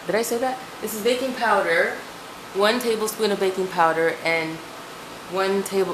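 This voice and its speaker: Woman, from Ecuador, Spanish first language, lowish voice, rambling home cooking chat, faint noise. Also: poor audio. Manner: chatty, rambling, restarts and self-corrections. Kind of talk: casual kitchen chat. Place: home kitchen. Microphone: camera mic, speaker off screen.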